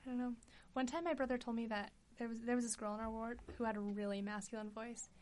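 A woman's voice: on the high side, steady tone, quick pace, darkish tone. A young adult woman talking as a podcast guest, self-conscious and hesitant, filling with "like". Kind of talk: casual chat. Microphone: podcast mic.

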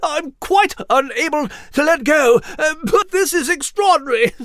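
Man as a pompous, excitable gentleman mouse. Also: extremely high pitch, moderate pace, dry recording, clean studio sound, pitched above the performer's own voice. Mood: giddy. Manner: pompous, formal, fussy. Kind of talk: animated children's storybook character reading.

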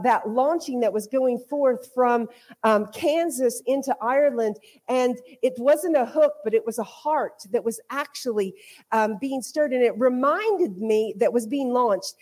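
A woman's voice: high voice, a little mellow. A woman from Oklahoma with an American accent, giving an informal sermon as a visiting preacher, conversational and rolling through repeated phrases. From Oklahoma, United States. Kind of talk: informal sermon with personal testimony. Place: speaking to a congregation at a church.

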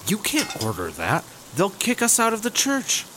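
An actor playing an anxious, cautious buddy-cop sidekick in a sci-fi comedy audio drama, worried and urging. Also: male voice, high-pitched, huge pitch swings, moderate pace, background noise. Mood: disapproving.

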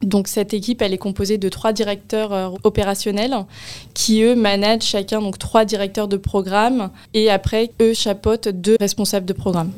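A woman's voice: mid-range voice, little pitch movement, moderate pace, darkish tone, smooth. A young woman from the Paris region of France answering interview questions in an explanatory way, with frequent hesitations. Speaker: interview guest, senior property programme manager.

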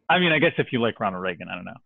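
A man from Texas speaking as a real estate investor guest on a podcast, relaxed and joking. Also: lowish voice, quick pace, gravelly, no room sound, phone-quality sound. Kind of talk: podcast interview.

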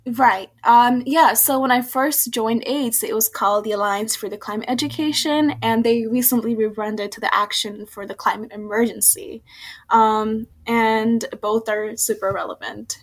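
A woman's voice: on the high side, steady tone, moderate pace. A young adult woman from Bangladesh, speaking as an activist guest and giving casual interview answers. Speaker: guest, youth climate activist.

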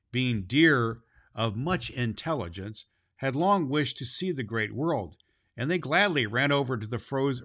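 A man's voice: mid-range voice, a bit bright. A man playing a jolly, kindly Santa Claus who hosts a children's storytelling show in a cheery, folksy manner. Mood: warm storytelling. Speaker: host and storyteller. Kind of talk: children's storytelling show, addressing boys and girls.

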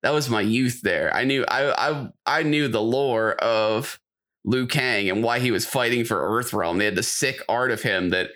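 A man's voice: medium pitch, moderate pace, fairly bright tone. A man co-hosting a podcast, chatting casually in a rambling, slangy way. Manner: rambling, slangy, frequently saying "like". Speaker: podcast co-host. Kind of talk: casual chat.

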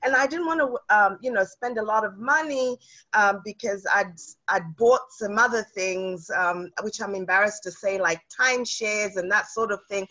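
A woman's voice: mid-range voice, pushed and bright, dull audio. A woman from South Africa, a guest chatting in an interview with lots of fillers and restarts. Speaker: guest real estate investor. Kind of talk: casual interview.

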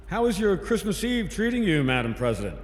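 A man's voice: sits high, big pitch swings, fairly quick. A man playing a wry, easygoing scientist in an audio drama, with casual delivery. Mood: warm, composed. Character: male scientist, wry, easygoing team member. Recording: echoey, noisy ambience.

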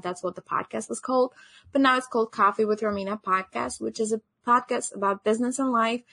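A woman's voice: mid-range voice, a bit bright, no echo. Woman giving a talk. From Albania. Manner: earnest, repeats "actually" and "you know". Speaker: podcast host presenting at a summit. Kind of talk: online conference presentation.

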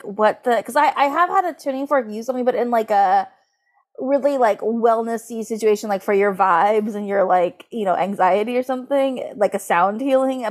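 A woman co-hosting a podcast in casual comedic chat, animated and giggly, sprinkling her sentences with "like". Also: medium pitch. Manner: animated, giggly, uses "like" constantly. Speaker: podcast co-host. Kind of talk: casual comedic chat, recapping and reading aloud.

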